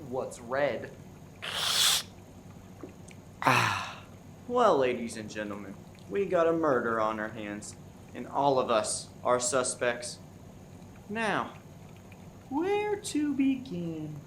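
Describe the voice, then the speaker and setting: A mid-pitched, self-important amateur sleuth played by a man in a farcical radio play. He is measured and mock-serious, with faint noise behind him. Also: big pitch swings, fairly bright tone, full character voice. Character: hapless, self-important amateur murder sleuth named Bill. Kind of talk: farce radio play acting.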